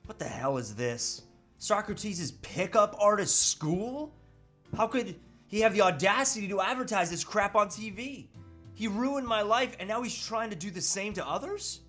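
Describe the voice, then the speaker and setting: A man's voice: high voice, expressive, normal speed, fairly bright tone, faint music behind. A man playing a tormented, guilt-ridden husband, earnest and melodramatic, in an amateur table read. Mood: outraged. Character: tormented, guilt-ridden husband warning against a pickup artist.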